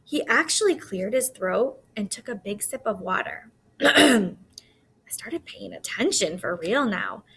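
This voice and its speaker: Bright-voiced woman reading a novel aloud. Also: medium pitch, sing-song, measured pace, creaky, husky, poor audio. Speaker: audiobook-style reader.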